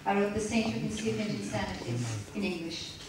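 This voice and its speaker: A woman reads aloud and then talks in a measured, reflective way, pausing now and then to restart a thought. She speaks at a middle pitch. She is caught from a distance in a very echoey lecture room with a little background noise. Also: expressive. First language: Turkish. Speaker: author at a reading. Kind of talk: reading aloud then answering questions.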